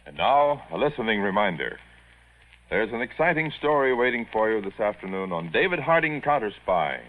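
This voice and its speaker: A man's voice: fairly low pitch, big pitch swings, moderate pace, a little hiss or hum. A man with an American accent reading scripted announcements as a 1940s network radio announcer. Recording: tinny old radio broadcast, music behind.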